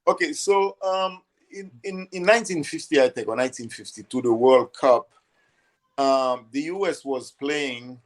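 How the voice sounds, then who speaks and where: An older man from Haiti, a podcast guest, explaining history in a halting way, repeating words while he searches for them. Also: medium pitch. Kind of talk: conversational explanation.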